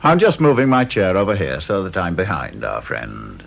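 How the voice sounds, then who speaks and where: A coolly sardonic British official in a radio drama. His voice is low and gravelly, swinging widely as he needles with mock politeness. The recording is dull and dated. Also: huge pitch swings, exaggerated voice. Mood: mock-polite needling. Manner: dry, mock-polite, deliberately unsettling.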